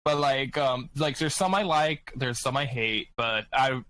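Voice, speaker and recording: A man with a medium-pitched voice chats casually as a podcast panelist. He speaks haltingly, often stammering at the start of a phrase. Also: fairly bright tone. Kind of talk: casual chat.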